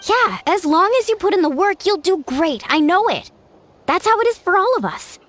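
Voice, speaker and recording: Very high, exuberant game reveler. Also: female voice, very animated, normal speed, a bit bright, full character voice. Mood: encouraging. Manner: encouraging, exuberant. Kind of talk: video game voice acting.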